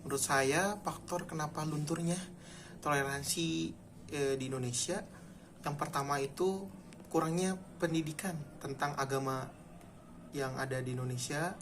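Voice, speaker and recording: A man's voice: medium pitch, a bit bright, slight background noise. A man in his twenties answering interview questions in an orderly way, going through his points one by one. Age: 20s. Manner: structured, lists points in order. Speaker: interviewee, private-sector hospital employee. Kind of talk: casual spoken answers.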